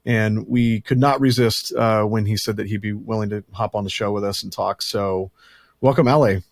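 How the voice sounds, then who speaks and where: A man's voice: fairly low pitch, steady tone, moderate pace, no echo, clean studio sound. A man hosting a podcast who talks in a rambling, chatty, self-deprecating way. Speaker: podcast host. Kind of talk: casual podcast chat.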